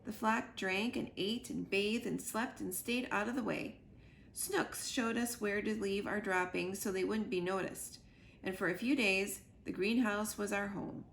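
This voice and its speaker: A woman's voice: mid-range voice, fairly even intonation, unhurried, creaky, a bit bright, a little hiss or hum. A woman reading a children's book aloud as a young goose earnestly telling his mother about his adventures. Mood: wide-eyed wonder.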